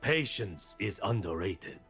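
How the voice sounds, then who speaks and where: A man playing a stern, calm warrior monk in a video game, speaking solemn, measured maxims of discipline and battle. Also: on the low side, over music. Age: middle-aged. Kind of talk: video game character voice lines.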